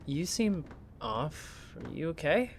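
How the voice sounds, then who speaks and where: A man playing a pushy, teasing college student in an audio drama, with an expressive, bantering delivery. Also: a little high, takes it slowly, a little hiss or hum, heavily acted. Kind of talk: naturalistic audio drama acting.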